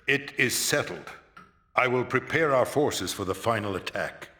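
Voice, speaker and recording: An actor playing an old, fatherly vampire resistance leader in a fantasy game, with a dramatic, florid, drawn-out delivery. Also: medium pitch, lively intonation, pushed and bright. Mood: resolute. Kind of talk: theatrical fantasy game cutscene acting.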